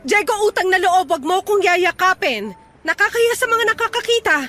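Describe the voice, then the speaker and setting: Very high, flustered middle-aged woman. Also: animated, brisk, pushed and bright, soft background music, exaggerated voice. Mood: alarmed, protesting. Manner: questioning, bewildered, protesting. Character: flustered, guarded middle-aged woman facing her old sweetheart. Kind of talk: Filipino radio romance drama acting.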